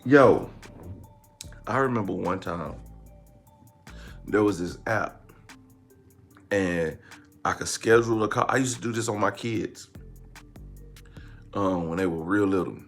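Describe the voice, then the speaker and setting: American man, online commentary host, gravelly creak, slangy, blunt and joking, leans on repetition. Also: low voice, measured pace, long pauses, soft background music. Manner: animated, repeats phrases for emphasis. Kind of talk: casual comedic commentary.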